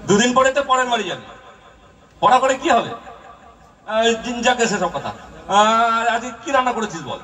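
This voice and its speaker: A man's voice: extremely high pitch, very animated, moderate pace, pauses often, bright, forceful tone, distant mic, voice pushed up, exaggerated voice. A man voicing a poor, nagging village father in an amateur puppet show, scolding and stammering when cornered. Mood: scolding, exasperated.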